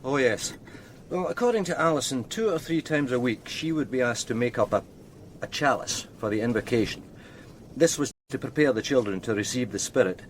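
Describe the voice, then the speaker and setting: An actor playing a measured, deferential male detective explaining evidence to his superior in a radio drama. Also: medium pitch, slight background noise, lightly acted. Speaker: police officer.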